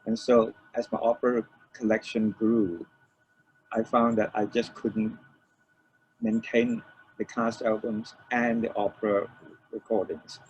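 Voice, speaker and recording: An older man from Malaysia, reminiscing as an interview guest in a halting way, repeating phrases as he explains. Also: fairly low pitch, animated, gravelly. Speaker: interview guest, record producer. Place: room with shelves of DVDs, on a remote call. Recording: dull lo-fi call, faint noise.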